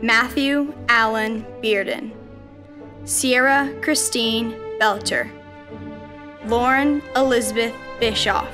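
A young woman, student council president, slowly and deliberately reading out graduates' names. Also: a little high. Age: young adult. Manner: slow, deliberate. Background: music.

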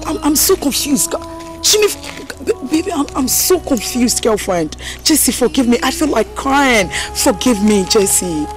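Feisty Ghanaian friend, high-pitched, emphatic, big swings in pitch, screen melodrama. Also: female voice, very animated, a little slow, over music. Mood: anxious, confused. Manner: outspoken, scolding, emphatic. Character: feisty, indignant woman defending her blackmailed friend.

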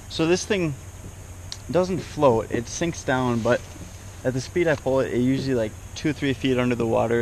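A man's voice: mid-range voice, gravelly, rough recording. A young man talking casually and unscripted to a camera on his kayak while fishing outdoors. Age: young adult. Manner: informal, unscripted. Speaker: fishing vlogger. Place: outdoors on a kayak on a small lake. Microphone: action camera mounted on the kayak, a step or two away. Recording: loud background noise, outdoor action camera.

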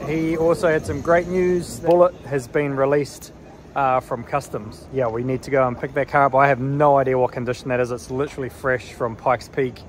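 A man's voice: mid-range voice, steady tone, moderate pace, a little hiss or hum. A man, giving casual, exclamatory vlog narration into a handheld phone. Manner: informal, exclamatory, slangy. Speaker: vlog host, race car driver. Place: on the move outdoors, in a vehicle and in a large exhibition hall. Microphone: handheld phone at arm's length. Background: music.

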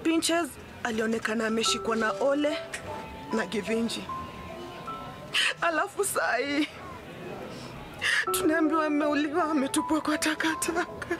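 A woman's voice: high-pitched, moderate pace, long pauses, music in the background, close to an everyday voice. A woman acting a distraught, disbelieving mourner in a radio drama, with a Kenyan accent. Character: distraught woman refusing to believe a killing.

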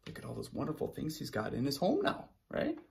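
A man's voice: medium pitch, wildly swooping pitch, a little fast, vocal fry, noisy background. A man in his thirties, a teacher reading aloud and explaining things to children expressively, often checking in with listeners. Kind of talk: children's read-aloud lesson. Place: small room indoors.

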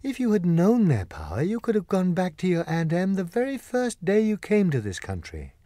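A man reads as a good witch from a children's storybook, gracious and wise. The delivery is measured and regal, yet very varied in pitch, in a dry recording. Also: huge pitch swings, warm tone, no echo. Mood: gentle, instructive.

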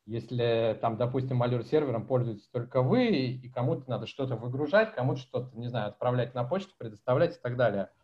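A man's voice: mid-range voice, fairly quick, hardly any pauses. A man in his forties answering questions at a tech meetup over a video call from a small room at home, talking casually and animatedly. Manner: animated, gestures a lot, chatty and informal. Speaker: conference speaker answering questions. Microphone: laptop webcam mic on video call. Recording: slightly dull video call audio.

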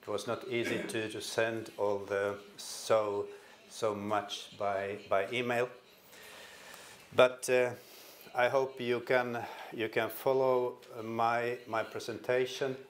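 An older man from Finland with a Finnish accent gives a conference presentation in a hall, speaking haltingly and repeating words as he restarts. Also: medium pitch, flat delivery, a little hiss or hum. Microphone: stand microphone at a podium.

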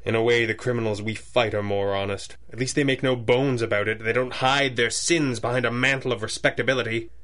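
An actor playing a brooding, wealthy masked crime-fighter in a 1930s-style radio adventure, deliberate and articulate. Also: male voice, mid-range voice, fairly even intonation, fairly bright tone, natural voice. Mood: brooding, confessional.

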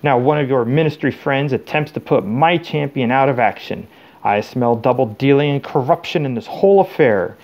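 A man's voice: mid-range voice, moderate pace, a little mellow, lightly acted. A man playing a pompous, suspicious wizard headmaster in an audiobook, declaiming with blustering indignation. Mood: outraged.

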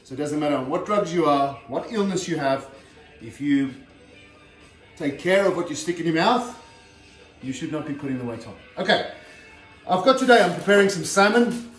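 A man's voice: on the high side, expressive, normal speed, pauses often. A middle-aged man, a former heavyweight fighter, chatting casually while he demonstrates cooking in his home kitchen, recorded by a camera set across the counter. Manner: chatty, plain-spoken, lots of fillers and "okay". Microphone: far camera on the counter. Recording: roomy kitchen sound from a distance, faint music.

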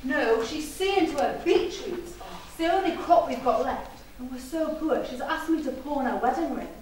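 A woman's voice: high-pitched, expressive, moderate pace, a little mellow. A woman playing a poor, downcast young village lad in a stage pantomime. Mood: glum. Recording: echoey theatre stage from far off.

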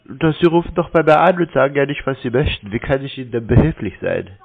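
A man's voice: medium pitch, lively intonation, quick pace, vocal fry. A man talks casually on a thin, phone-like line. His mid-range voice comes across as polite and naive, and he stays evasive. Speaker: scam-baiter taking a call. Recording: tinny narrowband phone call audio.

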